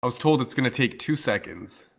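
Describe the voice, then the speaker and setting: Hoarse, chatty male game caster. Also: medium pitch, expressive, fairly quick, a bit bright. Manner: talkative, playful, hypes the chat. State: hoarse, losing his voice. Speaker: esports caster. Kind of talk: casual live game commentary.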